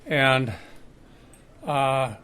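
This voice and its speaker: An older man giving an informal, unscripted speech in a halting, reflective way. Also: mid-range voice, steady tone, pushed and bright, clear, resonant tone. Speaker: club member speaking about an award.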